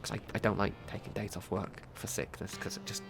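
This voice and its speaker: A man's voice: lowish voice, little pitch movement, brisk, vocal fry, a bit bright, soft background music. A man with a stuffy nose from a cold, giving rambling, casual gameplay commentary with plenty of self-corrections and offhand swearing. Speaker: gaming video creator.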